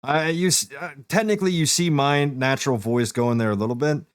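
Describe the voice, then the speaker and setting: A man with a deep voice casually walking a friend through a streaming setup, step by step with fillers. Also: brisk, smooth, dry recording, studio quality. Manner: explains steps plainly, fillers, talks to a friend. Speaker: streamer giving setup help. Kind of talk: casual walkthrough.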